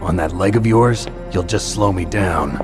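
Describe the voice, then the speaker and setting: An actor playing a gruff, curt, no-nonsense soldier in a video game, speaking in terse, dry, commanding phrases with a gravelly growl. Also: male voice, low-pitched, unhurried, music in the background. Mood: stern, commanding. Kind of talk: video game cutscene acting.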